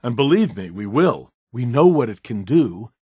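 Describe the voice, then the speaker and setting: A nerdy earnest scientist dude for a video game, bit husky, very up and down, polite n kinda hesitant. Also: male voice, mid-range voice, big pitch swings, normal speed, darkish tone, dry recording. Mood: confident. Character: earnest, bookish male scientist, eager and slightly nervous.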